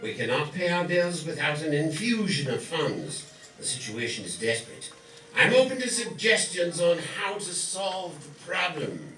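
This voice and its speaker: A very bright, high male voice, a man acting a worried village vicar. He is formal and exasperated in a comic radio play, in an echoey room. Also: very animated, normal speed, faint music behind. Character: worried English country vicar, dryly exasperated. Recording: echoey room, distant microphone.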